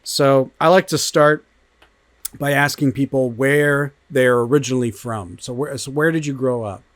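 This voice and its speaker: A man hosts a relaxed interview, chatty and quick to laugh, pausing on fillers as he works toward his questions. His voice sits at a middling pitch, recorded dry and close with little room sound. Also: dry recording. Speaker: podcast host. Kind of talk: casual interview chat.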